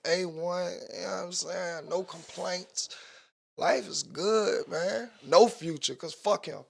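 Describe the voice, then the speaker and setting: A man's voice: high-pitched, slow pace, vocal fry. A man co-hosting a podcast, bantering playfully in slang. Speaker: podcast co-host. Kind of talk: casual chat.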